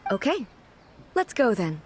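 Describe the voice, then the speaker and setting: A woman's voice: fairly high pitch, very animated, unhurried, noisy background. A woman playing a dignified, curious female shogun in a video game, composed and literal-minded, speaking formally. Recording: background music.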